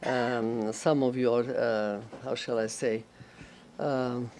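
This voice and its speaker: Halting woman panelist, non-native English. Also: very deep voice, steady tone, a little mellow, a little hiss or hum. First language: neither English nor Russian. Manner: halting, searches for words, many fillers. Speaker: panelist, head of a management school association. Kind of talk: panel discussion remarks.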